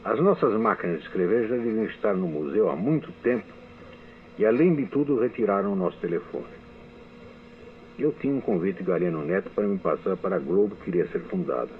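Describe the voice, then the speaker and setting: An older man reads his memoirs in a measured, recollecting way, his voice very deep. The sound is thin and tinny, like an old archival radio broadcast. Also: lively intonation. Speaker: radio writer giving a testimony. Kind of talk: reading a memoir testimony.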